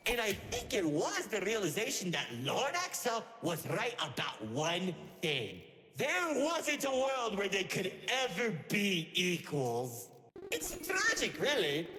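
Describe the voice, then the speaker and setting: A man's voice: very high-pitched, sharp tone, heavily acted. A man playing a gleeful, theatrical villain in a video game, mockingly narrating with relish for chaos and carnage. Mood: mock sorrow. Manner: theatrical, mocking, savouring grim details. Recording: long echoing hall reverb with music.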